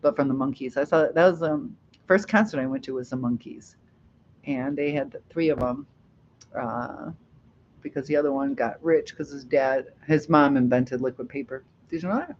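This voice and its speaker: A woman's voice: very deep voice, normal speed, a little mellow, slight background noise. A middle-aged woman from Ohio hosting a casual livestream, rambling and laughing as she reads the chat. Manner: chatty, rambling, laughs often. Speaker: livestream host. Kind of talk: casual live chat, reading comments. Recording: dull lo-fi livestream audio.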